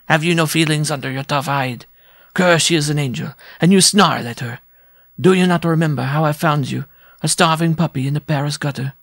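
A man doing a shrill proud little dwarf. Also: fairly quick, a bit bright, dry recording, clean studio sound. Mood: scolding. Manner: pompous, impassioned pleading. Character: tiny proud jealous male circus dwarf. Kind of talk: storybook reading.

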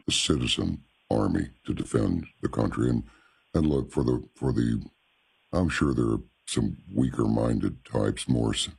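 A radio host with an extremely deep, gravelly voice asks blunt questions and presses his points with emphasis. His tone is husky, with a rough low rumble under every phrase. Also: a little mellow. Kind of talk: talk radio conversation.

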